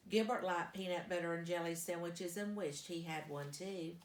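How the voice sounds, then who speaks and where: A woman reading a children's story aloud as a storyteller, with deliberate, emphatic phrasing. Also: lowish voice, fairly even intonation, slight background noise. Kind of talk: expressive children's storybook narration. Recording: echoey, roomy sound.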